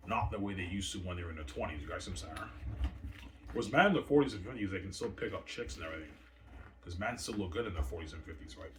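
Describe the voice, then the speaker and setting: A man in his thirties rambling boastfully in a casual monologue, tagging "right?" and "obviously" onto his points. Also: low-pitched. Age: 30s. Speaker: channel host. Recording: distant mic with faint noise.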